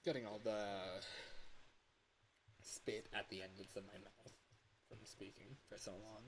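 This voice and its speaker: A man talking casually as a livestreamer and game developer, rambling and breaking off his own sentences with animated asides. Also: fairly low pitch, measured pace, heavy background noise.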